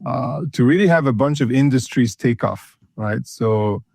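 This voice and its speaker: A man's voice: medium pitch, unhurried, creaky, darkish tone, dry recording, studio quality. A man from Ethiopia, a consulting firm founder, talking in an interview, hesitant and full of fillers and repeated words. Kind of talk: conversational interview.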